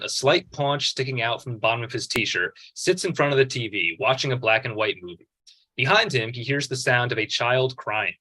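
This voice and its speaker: A man's voice: mid-range voice, bright, forceful tone, rough recording. A man reading screenplay stage directions as narrator at a table read.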